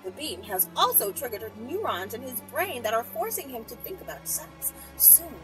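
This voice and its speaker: Female storyteller, high-pitched and very animated, teasing and theatrical, recorded away from the mic with music. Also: normal speed, recorded from a distance, rough recording. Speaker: storyteller, channel host. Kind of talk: dramatic story reading with playful asides.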